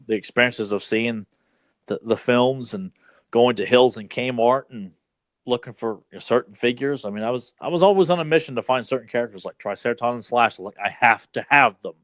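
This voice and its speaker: A man's voice: mid-range voice, no echo. A man from rural Virginia with a Southern accent, co-hosting a podcast in easygoing casual chat full of fillers. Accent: Southern American, Virginia. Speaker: podcast co-host.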